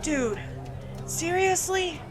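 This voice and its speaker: A woman's voice: extremely high pitch, animated, drawn-out words, a crowd in the background, voice pushed up, full character voice. Woman doing an uneasy teen guy, incredulous, casual, horror story, music underneath. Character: sceptical, uneasy teenage boy among friends. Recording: music behind the voice.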